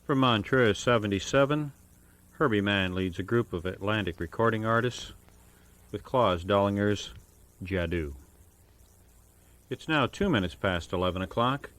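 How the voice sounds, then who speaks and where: A man working as a radio DJ, introducing jazz records in a measured, formal announcing style with careful enunciation of names and dates. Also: lowish voice, fairly bright tone. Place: radio studio. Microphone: broadcast studio microphone.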